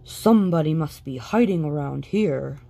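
A woman's voice: low voice, expressive, normal speed, creaky, mellow, full character voice. A woman reading aloud, voicing a male night watchman in a storybook with bold exclamations.

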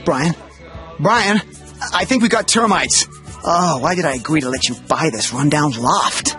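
A man voicing a highly strung, theatrical anteater who whines and fusses in a comic animal voice-over. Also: animated, measured pace, sharp tone, soft background music. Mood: exasperated. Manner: whiny, melodramatic.

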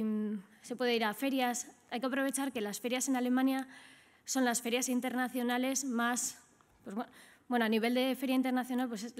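A middle-aged woman with an accent from Spain, giving a business presentation as a conference speaker in a conversational way, often checking in with short tag questions. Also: on the high side. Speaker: trade office director, conference speaker. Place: indoor conference stage at a lectern.

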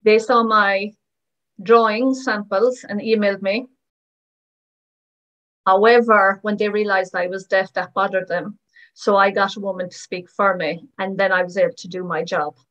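A woman speaking as a voice-over interpreter, giving first-person testimonies of signers in short, measured phrases. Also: mid-range voice, fairly even intonation, fairly bright tone, lo-fi sound. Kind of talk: voicing first-person testimonies in plain narration.

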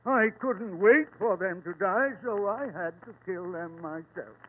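An actor playing a proud, sick old murderous wax artist, grandiose and self-pitying, in 1940s radio style. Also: male voice, sits high, unhurried. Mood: anguished, obsessed. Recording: muffled old-time radio recording.

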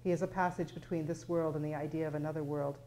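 A woman reading the part of an airy, excitable photographer who commands those around her, her voice lifting as she explains. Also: low-pitched, quick pace, a little hiss or hum, poor audio. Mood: earnest, rapt.

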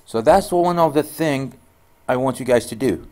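A man's voice: medium pitch, normal speed, darkish tone. A man whose native language is Arabic, walking through a networking tutorial in loose, rambling speech with frequent restarts. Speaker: networking instructor. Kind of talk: screen-recorded tutorial.

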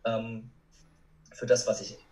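A man's voice: lowish voice, level tone, slow pace, a little mellow. Man speaking earnestly in a roomy space. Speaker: interview guest, motivational speaker and coach. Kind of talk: reflective personal account in a podcast interview. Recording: roomy, echoing sound.

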